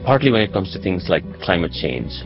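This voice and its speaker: A man's voice: low-pitched, big pitch swings, a little fast, highs cut off, over music. A man from Nepal speaking as a journalist in an interview, explaining things reflectively and conversationally, often checking in with "right?" and "you know".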